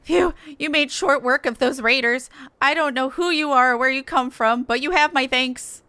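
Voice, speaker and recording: A woman's voice: sits high, moderate pace, sharp tone, smooth, dry recording, voice pushed up. A woman voicing a rattled male traveling merchant in a game, chatty and grumbling. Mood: relieved. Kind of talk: reading game dialogue in character.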